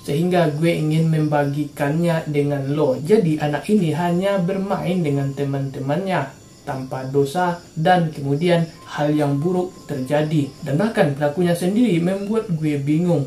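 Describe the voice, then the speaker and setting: A woman from Indonesia narrates true-crime cases in a relaxed, slangy way. Her voice is mellow and warm at a middling pitch. The recording sits in a roomy, echoey space with a faint hiss underneath. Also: normal speed. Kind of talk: casual true-crime storytelling.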